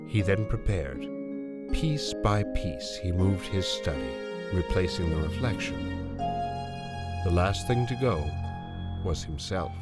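A man's voice: deep voice, lively intonation, slow pace. A man narrating a fairy tale in a solemn, theatrical storytelling manner. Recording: loud music under narration.

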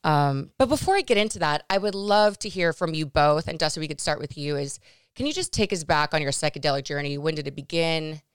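A woman's voice: low-pitched, expressive, brisk, smooth, dry recording, studio quality. A woman from California hosting a podcast interview, chatty and upbeat with frequent restarts. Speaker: podcast host.

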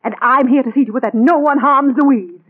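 Very high-pitched, imperious mother-in-law. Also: brisk. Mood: haughty, accusing. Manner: haughty, stammering when alarmed. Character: imperious, suspicious, meddling older mother-in-law. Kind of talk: 1940s radio thriller acting. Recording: narrow, tinny 1940s radio recording.

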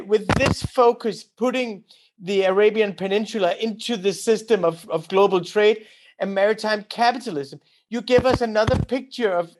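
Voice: mid-range voice, background noise. An interviewer from a Mauritanian family asking an author careful questions, hesitant and repeating words.

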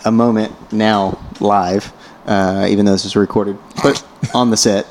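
A man's voice: fairly low pitch, fairly even intonation, a little slow, a little hiss or hum. A man co-hosting a casual chat show, explaining things step by step with wry jokes. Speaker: podcast co-host.

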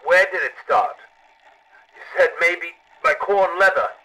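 An actor playing a tormented, frightened sheriff in an audio drama, strained and halting, struggling for breath. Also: male voice, a little high, lively intonation, sharp tone, heavily acted, poor audio. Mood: panicked, confused. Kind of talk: intense audio drama acting.

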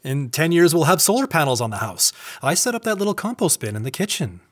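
A man acting a well-meaning but self-satisfied husband in an audio drama, earnest and condescending, halting when challenged. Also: on the high side, big pitch swings, a bit bright. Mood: defensive.

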